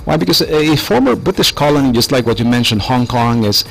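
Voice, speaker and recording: A man's voice: medium pitch, expressive, brisk, gravelly, fairly bright tone, poor audio. A man hosting a live radio interview, putting questions to a guest in a conversational way and often repeating himself.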